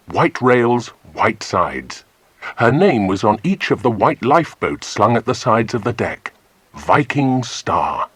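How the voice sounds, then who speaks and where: A man's voice: medium pitch, slightly rough, no room sound. A man narrating a children's audiobook as a storyteller.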